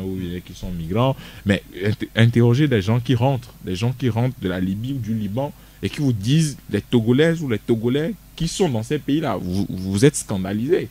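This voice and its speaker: A man's voice: lowish voice, a little mellow. Emphatic man on radio. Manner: emphatic, repeats words and restarts often. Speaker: studio guest. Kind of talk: radio discussion.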